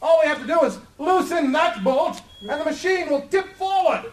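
High-voiced pompous adventurer hero. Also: male voice, expressive, moderate pace. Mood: confident, grand. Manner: grandiose, theatrical. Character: pompous, self-assured male adventurer hero. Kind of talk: live comedic radio play acting. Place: convention stage before live audience. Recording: roomy live stage, distant mic, faint noise.

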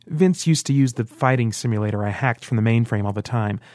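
A man voicing a calm, patient man who speaks steadily and earnestly. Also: mid-range voice, fairly quick, dry recording, studio quality, close to an everyday voice.

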